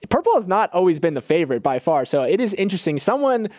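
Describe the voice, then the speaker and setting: Higher-pitched American male podcast co-host. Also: sing-song, fast, darkish tone, no room sound, studio quality. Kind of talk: casual news banter with co-host.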